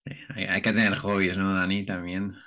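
Podcast host, man with lowish voice. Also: little pitch movement, moderate pace, pushed and bright. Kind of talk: conversational podcast hosting.

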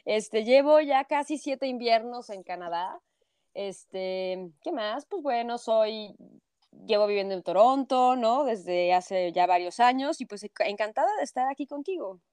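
A woman in her thirties from Mexico City, with a sing-song Mexico City accent, talking as a journalist guest in a lively, expressive way and often adding tag questions. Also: a little high, a little slow, clear, resonant tone, no echo. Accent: Mexico City Spanish, sing-song. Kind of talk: interview.

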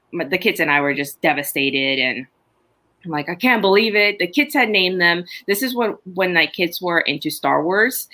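A middle-aged woman from Honduras, a farmer chatting casually and telling stories about her farm. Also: fairly low pitch. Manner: chatty, animated storytelling with laughs. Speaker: farmer, podcast guest. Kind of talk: casual chat.

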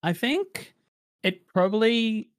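A man's voice: high voice, animated, slow, no room sound, clean studio sound. A young man with an Australian accent, answering interview questions in a casual, reflective way with many fillers.

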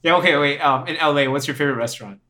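Chatty young man, quick restarts. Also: fairly high pitch, pushed and bright. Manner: laughs often, repeats words, restarts. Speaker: podcast host. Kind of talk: casual chat.

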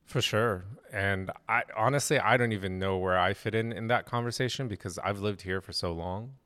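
A man with a very low voice hosts a conversational interview. He speaks in a measured, thoughtful way and lays out his points steadily. The tone stays even, like a relaxed discussion. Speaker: interviewer, host.

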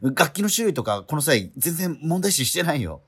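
A man's voice: on the high side, sing-song, breathy edge. An actor playing an exasperated schoolboy straight man in a comedic radio drama, quibbling and retorting in disbelief. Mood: incredulous. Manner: incredulous, quibbling, rapid retorts.

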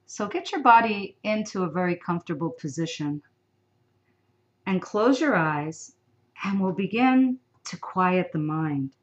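A woman leading a guided sleep meditation, giving soft, soothing instructions with long pauses. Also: on the low side, expressive, highs cut off.

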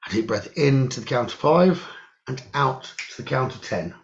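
A man leading a guided meditation in a slow, soothing instructional style, speaking in measured, hushed short phrases with pauses. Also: medium pitch, lively intonation, creaky, muffled recording.

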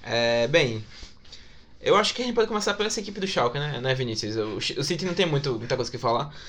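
A man's voice: mid-range voice, lively intonation, fast, fairly bright tone. A man with a Brazilian accent giving casual football commentary, often repeating and correcting himself. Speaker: football podcast panelist.

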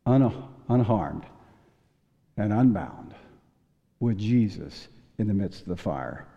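Man preaching with a dark, mellow voice. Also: fairly low pitch. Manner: folksy storytelling with restarts and chuckles. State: losing his voice. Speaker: pastor. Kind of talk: sermon. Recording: dull long church reverb.

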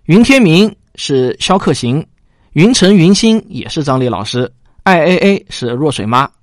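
A man's voice: fairly high pitch, no echo, clean studio sound. A man presenting as a show host, talking to listeners in a conversational, orderly way. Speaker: host and producer.

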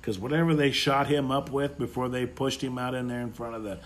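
A man's voice: medium pitch, steady tone, a little fast. A man in casual political chat, speaking bluntly with swearing and repeating himself for emphasis.

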